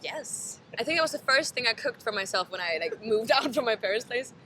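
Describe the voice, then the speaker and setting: Lively, bright-voiced young woman. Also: a little high, brisk. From Denmark. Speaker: interviewee. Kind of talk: casual chat. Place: outdoors at a beach. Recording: noisy outdoor ambience.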